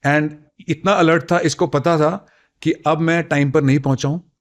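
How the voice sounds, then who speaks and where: A man's voice: fairly high pitch, fairly even intonation, normal speed. A middle-aged man with an Indian accent tells army stories conversationally as a retired officer, often switching between two languages mid-sentence. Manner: code-switches between Hindi and English. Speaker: retired army colonel, interview guest. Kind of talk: conversational storytelling, recounting army memories.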